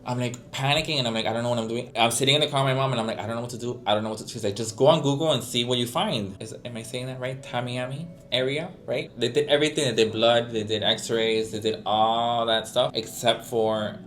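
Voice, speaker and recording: A man's voice: medium pitch, fairly bright tone. Chatty man with a Miami Cuban accent. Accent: Cuban-American Miami. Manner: chatty and dramatic, with Spanish slang and asides to viewers. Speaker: vlogger. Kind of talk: casual chat to camera. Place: indoors, sitting.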